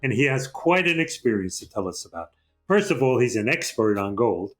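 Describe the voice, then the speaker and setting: A man's voice: mid-range voice, very animated, fairly quick. Older male interview host, faint music. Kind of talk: interview hosting.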